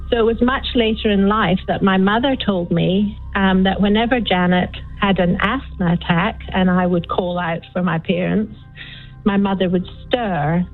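An older Scottish woman calling in to a radio show, telling a story in a chatty, unhurried way with plenty of ums. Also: on the low side. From Scotland. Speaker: caller. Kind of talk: phone-in storytelling. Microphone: phone. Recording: tinny phone line with faint music.

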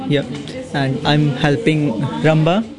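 A man's voice: on the high side, little pitch movement, slow pace, music in the background. A young man from India, a student, speaking in a friendly way.

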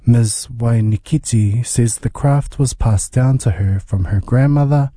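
Husky, dark-voiced radio reporter man. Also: mid-range voice, unhurried, dry recording, studio quality. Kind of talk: scripted news narration.

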